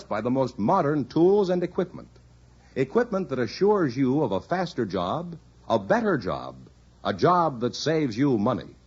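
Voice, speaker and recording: American man, vintage radio announcer, lively swooping pitch, polished sales pitch, dull old recording. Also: breathy edge. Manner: polished, emphatic sales delivery. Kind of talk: scripted 1950s radio announcing and sponsor ads.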